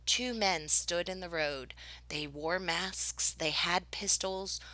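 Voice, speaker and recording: A woman reading a children's picture book aloud in an expressive, dramatic storytelling style. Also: on the low side, slow, no room sound, poor audio. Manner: dramatic, with whispered suspense and excited exclamations.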